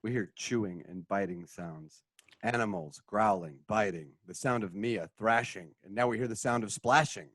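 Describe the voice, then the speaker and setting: Low-voiced man reading stage directions. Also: normal speed, a bit bright, slightly rough, no room sound. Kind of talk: radio drama script reading.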